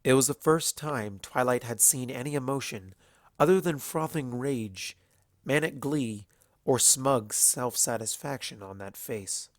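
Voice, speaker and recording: A man's voice: medium pitch, a little slow, no room sound, studio quality. A man reading scripted fantasy fiction aloud as the narrator.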